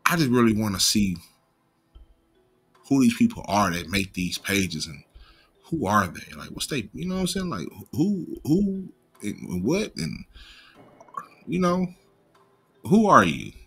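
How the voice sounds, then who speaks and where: Male commentary host, American, lowish pitch with a sharp edge, slight stutter, casual profane street slang, unscripted. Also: sing-song, measured pace, pauses often, breathy edge, faint music behind. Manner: imperfect pronunciation of some words.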